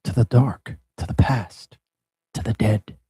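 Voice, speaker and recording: A man reading the part of a young sea nymph, hushed and wondering, husky-voiced. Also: on the low side, unhurried, no echo. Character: Panthea, a gentle young female oceanid.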